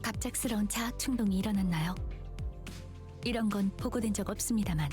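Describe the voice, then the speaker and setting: A woman's voice: mid-range voice, racing, a little mellow, faint music behind, lightly acted. An actress playing a composed, formal, clinical woman adviser in a game.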